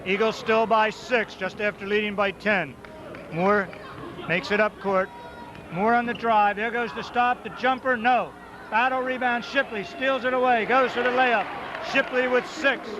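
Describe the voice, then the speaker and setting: A man with a high, loud voice calls high school basketball play by play in short, rapid bursts. The sound is muffled old broadcast tape, and a noisy gym crowd roars behind him. He clearly roots for the home side. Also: steady tone. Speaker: play-by-play announcer. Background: crowd noise, ball bounces.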